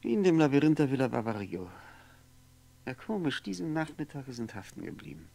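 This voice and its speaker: A lonely man lost in his memories in a 1960s radio play. His medium-pitched voice crackles with fry. He muses haltingly, wry and wistful, repeating words and trailing off. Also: very animated, mellow. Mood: drowsy, wistful. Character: lonely drinking man, wistful, sardonic, brooding over memories.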